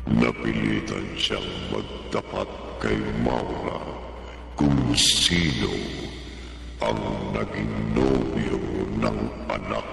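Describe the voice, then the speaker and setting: A man with an extremely deep, gravelly voice narrates a horror radio drama at a crawl. Heavy reverb swims around every word. Loud eerie music plays underneath. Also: extremely slow, recorded from a distance.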